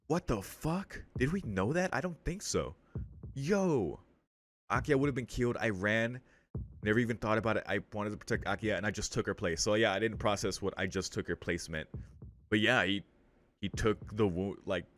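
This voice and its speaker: A man hosting a let's play, reading visual novel text aloud and breaking in with casual, slangy commentary. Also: lowish voice. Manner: interjects with casual slang and swearing.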